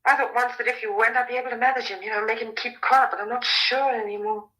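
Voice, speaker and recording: An actress playing an anxious, protective young farmer's daughter, speaking earnestly in a vintage radio drama style. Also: female voice, a little high, steady tone, fast, sharp tone, rough recording. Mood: fearful, brooding. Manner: earnest, emphatic, fretful.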